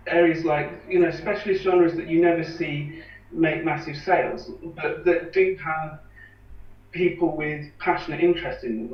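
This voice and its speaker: A man calmly explaining how he runs a campaign for independent bands, with an earnest manner. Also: a little high. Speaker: campaign organiser. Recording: echoey, distant, dull lo-fi sound.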